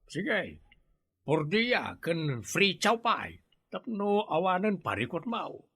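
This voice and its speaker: Slow-talking Ilocano village elder. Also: male voice, sits high, sing-song, a bit bright, slightly rough, dry recording, clean studio sound, full character voice. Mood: businesslike, obliging. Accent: Filipino, Ilocano. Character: older village employer, practical and obliging. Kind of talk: Ilocano radio comedy drama acting.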